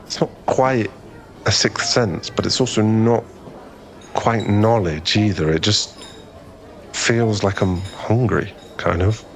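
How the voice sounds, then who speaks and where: Male actor as bashful young suitor for ASMR boyfriend roleplay: low register, earnest, stumbling delivery, noticeable background ambience. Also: takes it slowly, slight background noise. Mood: earnest, smitten. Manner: hesitant, stammering, earnest, bashful.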